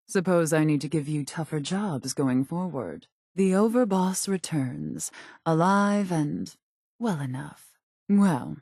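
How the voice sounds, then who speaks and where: A woman's voice: sits low, warm tone, dry recording, studio quality, heavily acted. A woman playing a cool, calculating raider gang boss in a video game, speaking in a clipped, haughty, businesslike way. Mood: dry, appraising. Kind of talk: scripted video game character dialogue.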